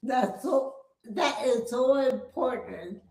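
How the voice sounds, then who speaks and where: Slow, high-voiced woman interviewer. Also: darkish tone. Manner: hesitant, many fillers and restarts. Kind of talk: interview questions. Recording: echoey room.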